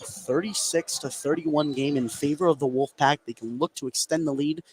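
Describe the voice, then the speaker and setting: A man calling live high school basketball play-by-play from an indoor gym, running through the action and getting excited on big plays. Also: moderate pace. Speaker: play-by-play commentator. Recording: noisy live gymnasium ambience.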